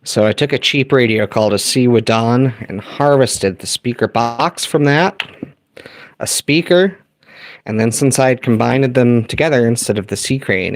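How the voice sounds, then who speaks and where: A young man with a gravelly, creaky voice walks through his radio gear at home. He talks casually and jokes as he explains each step. The sound is dry and close, with no room around it. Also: mid-range voice, no room sound. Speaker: radio hobbyist vlogger. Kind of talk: casual show-and-tell.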